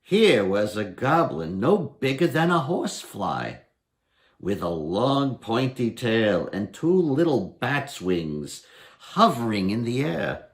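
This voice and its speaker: A man's voice: mid-range voice, sing-song, slow. A man in his sixties reading a story aloud with dramatic storytelling delivery. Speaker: storybook reader. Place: indoors, home dining room.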